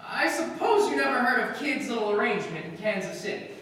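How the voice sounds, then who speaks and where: Tipsy, animated writer in echoey hall. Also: male voice, extremely high pitch, fast, exaggerated voice. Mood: scornful, tipsy. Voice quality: loose, rambling drunken delivery with hiccups. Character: tipsy, earnest American male magazine writer, smitten and cynical. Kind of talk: live old-time radio play acting. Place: live stage performance in a hall. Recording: distant echoey hall, faint noise.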